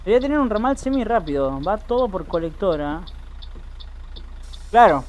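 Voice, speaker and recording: A man's voice: sits high, sing-song, moderate pace, slight background noise. An Argentine man with an Argentine accent giving casual live commentary while streaming a driving game, chatting with viewers and swearing now and then.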